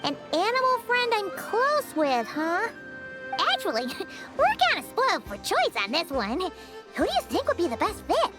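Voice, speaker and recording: A woman voicing a small, cheeky, excitable fairy companion in an animated video game. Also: extremely high pitch, normal speed, full character voice. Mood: curious. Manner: excitable, childlike, speaks of herself in third person. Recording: loud music under the voice.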